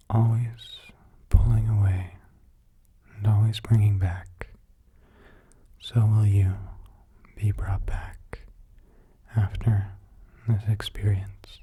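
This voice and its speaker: Male ASMR meditation guide: low, dark, hushed and breathy, soothing, halting phrases. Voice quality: breathy, hushed, mostly whispered. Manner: slow, soothing delivery with short halting phrases.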